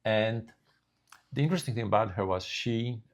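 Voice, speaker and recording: An older man co-hosting a casual news chat, talking slowly with frequent restarts and fillers. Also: on the low side, slight background noise. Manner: slow, halting delivery with many restarts. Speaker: co-host.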